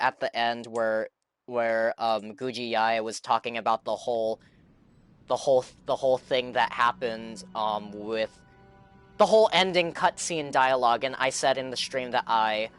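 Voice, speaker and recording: A man's voice: medium pitch, normal speed, fairly bright tone, clean tone, no echo, soft background music. Casual male game streamer musing aloud. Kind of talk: casual livestream chat while playing.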